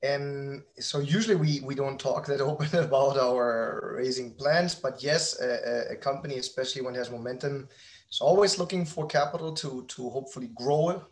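A man speaking as a podcast guest in casual conversation, halting and repeating words, with a self-deprecating way of talking. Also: mid-range voice. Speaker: podcast guest, company founder.

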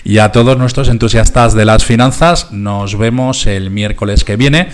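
Spanish guy hosting a radio show, lowish voice, chatty studio talk. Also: steady tone, normal speed. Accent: Spanish (Spain). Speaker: host of a finance radio show. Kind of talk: conversational radio talk, wrapping up.

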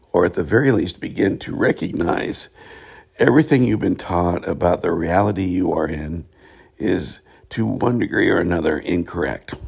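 A hoarse middle-aged man hosting a reflective spiritual talk show, speaking in a halting way with many pauses. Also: deep voice, darkish tone. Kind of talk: reflective solo monologue.

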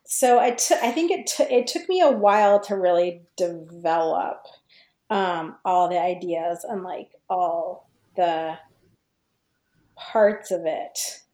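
A woman's voice: on the low side, expressive, takes it slowly, a little mellow. A woman podcast guest chatting casually and reflectively about her writing, searching for words and often saying "like". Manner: hesitant, searching for words, many "like"s. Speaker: podcast guest, fan fiction writer. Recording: roomy, echoey sound.

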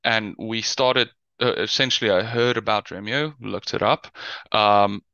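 Crisp, bright-voiced South African man. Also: lowish voice, steady tone, measured pace, no room sound, muffled recording. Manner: conversational, with many fillers, restarts and tag questions. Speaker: guest presenter, business intelligence lead. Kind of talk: webinar presentation.

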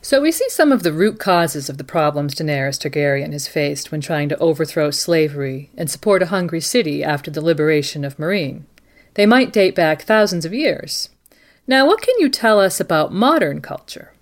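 Woman, low pitch, lively intonation, podcast host as news anchor, formal, scripted interview style. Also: normal speed.

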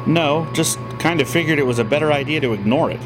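An actor playing a nervous, wisecracking friend in an audio drama, given to wry asides. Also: male voice, on the high side, lively intonation, fast talker, noisy background, close to an everyday voice.

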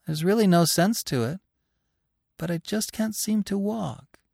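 A man's voice: high voice, animated, a little slow, vocal fry, darkish tone, dry recording, studio quality, pitched above the performer's own voice, heavily acted. A man voicing an injured female hare in a storybook, bewildered yet almost happy. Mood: bewildered, bright.

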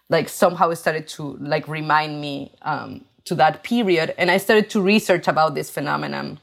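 Chilean-accented woman in her forties, low voice, unhurried halting artist interview. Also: vocal fry. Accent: Chilean Spanish. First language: Spanish. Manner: halting, many fillers and restarts. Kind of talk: interview monologue about her art.

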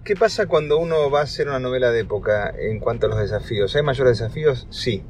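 A man's voice: mid-range voice, expressive, quick pace, over music. A man explaining his work as a director in an interview. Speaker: TV series director being interviewed.

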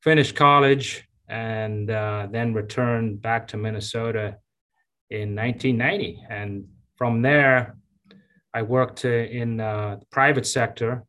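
Relaxed, chatty man in his fifties. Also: lowish voice. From Zambia. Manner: relaxed, chatty, with many fillers and restarts. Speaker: county engineer and public works director. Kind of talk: casual interview answers.

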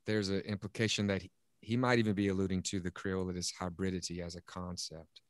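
A man's voice: sits low, little pitch movement, fast talker, no echo, studio quality. A man from Louisiana in a class discussion, hesitant, with many fillers and restarts. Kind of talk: casual discussion.

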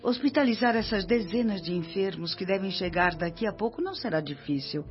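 An actress playing a devoted, humble spirit nurse in a Brazilian radio drama, speaking in an earnest, confiding way. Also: female voice, medium pitch, lively intonation, fairly quick, lightly acted. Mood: concerned. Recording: dull lo-fi radio recording, music behind.

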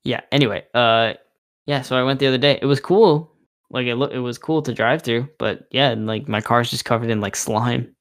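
A man's voice: mid-range voice, fairly quick, fairly bright tone. A man in a casual podcast chat with a friend, telling loose, rambling stories full of fillers, laughter and swearing. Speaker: podcast co-host.

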